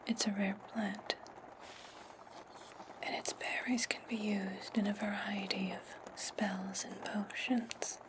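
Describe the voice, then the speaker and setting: A woman playing a stern, imperious witch in a slow, breathy whispered ASMR roleplay, speaking deliberately close to the microphone. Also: medium pitch, flat delivery, lightly acted, rough recording. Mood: stern, instructive. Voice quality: breathy, mostly unvoiced whisper. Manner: deliberate, imperious, menacing, drawn-out phrasing. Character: stern, commanding witch demanding servant's obedience.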